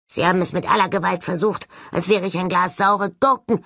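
A woman's voice: lowish voice, fairly even intonation, brisk, sharp tone, dry recording. A grumbling skull ghost from an audiobook, acted out by a woman, male, sardonic and easily offended. The delivery is sullen and mocking, with a slightly muffled edge and a close, dry sound. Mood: indignant. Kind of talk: audiobook character voice.

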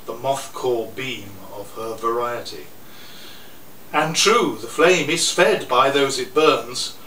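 Older guy reading his poems out slow, bright voice, sounds roomy and kinda far from the mic. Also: medium pitch. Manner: slow, theatrical recitation with chuckles between poems. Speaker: poet reading his own work. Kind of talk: live poetry reading with asides. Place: small cafe room with an audience. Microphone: stand mic a little off to the side. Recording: roomy, distant camera audio with faint noise.

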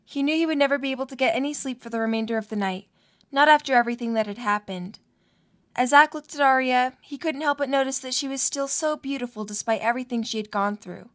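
A woman's voice: sits high, quick pace, a bit bright. A woman reading scripted narration for a fan-made audio drama.

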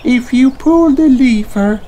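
Little girl animal played by a man: cheerful, curious, sing-song high voice, storybook, noisy recording. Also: warm tone. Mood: excited. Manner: drawn-out, sing-song, eager.

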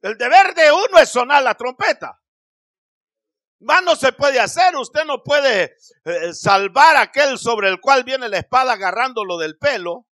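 A man's voice: extremely high pitch, expressive. Fervent man preaching in bursts. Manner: emphatic, drawn-out phrases with shouted peaks. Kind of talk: Spanish-language Pentecostal sermon. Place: small church hall, indoors. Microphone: handheld microphone.

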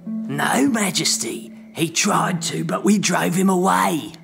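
A fearful, awed royal sentry of an ancient court, acted by a man in an audio play. The delivery is slow, formal and deferential, with loud music surrounding the voice. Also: sits high, gravelly, voice pushed up, heavily acted. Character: wary, awed royal sentry and spy servants of a king.

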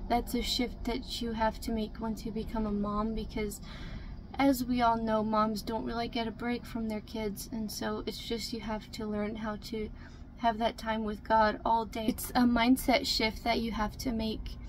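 Woman, 20s, vlogger, chatty, slightly high, even-toned, faint hiss. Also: moderate pace. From Canada. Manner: soft-spoken, chatty, reads from notes with many ums. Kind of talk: casual get-to-know-me talk to camera. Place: small room inside a camper. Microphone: camera in front at close range.

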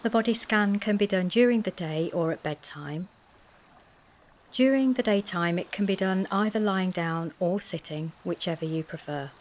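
A woman wellness coach leads a guided mindfulness body scan in a gentle, soothing, measured way, speaking in short phrases with pauses. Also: fairly low pitch. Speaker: wellness coach guiding a relaxation session.

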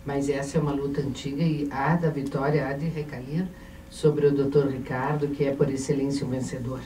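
A middle-aged Brazilian woman giving a formal tribute from a panel in a measured, ceremonious way. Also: rumbling low, little pitch movement, mellow. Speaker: panel member. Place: indoor auditorium panel table. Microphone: handheld mic, recorded by a distant camera. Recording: echoey, noisy hall from far off.

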